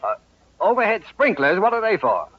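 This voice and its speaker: An actor playing an exasperated, gullible middle-aged homeowner in an old-time radio comedy, indignant and sputtering. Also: male voice, high voice, sing-song, moderate pace, highs cut off. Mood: flustered, indignant.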